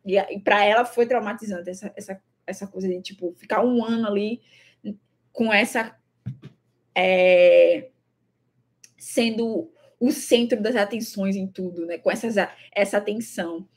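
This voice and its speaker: Husky-voiced Brazilian woman. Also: medium pitch, measured pace. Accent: Brazilian Portuguese. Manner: thoughtful, repeats words, many fillers. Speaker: traveling vlogger. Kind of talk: casual talk to camera.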